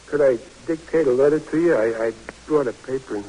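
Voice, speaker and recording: An actor playing a big, good-natured, bashful young army private, talking in a folksy, stammering way in 1940s radio drama. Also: male voice, medium pitch, fairly quick, mellow, slightly rough, close to an everyday voice, low-quality recording. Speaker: soldier.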